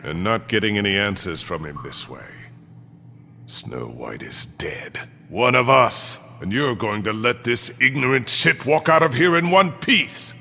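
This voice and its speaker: An actor playing a menacing, impatient, hot-tempered bully in a video game, scornful and domineering, with a gravelly voice. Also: male voice, fairly low pitch, sing-song, unhurried, pushed and bright, big-room sound, faint music behind, heavily acted. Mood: furious.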